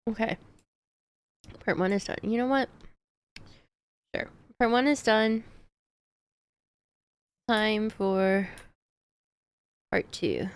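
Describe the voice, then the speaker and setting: A woman's voice: mid-range voice, extremely slow, pauses often, clean tone. A woman livestreamer chatting casually while she plays a game, with playful exclamations and asides. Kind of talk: casual gaming stream chat.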